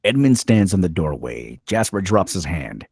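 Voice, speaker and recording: A man's voice: deep voice, very animated, moderate pace, darkish tone, slightly rough, no echo, studio quality. A man narrating a screenplay's stage directions in a scripted dramatic style.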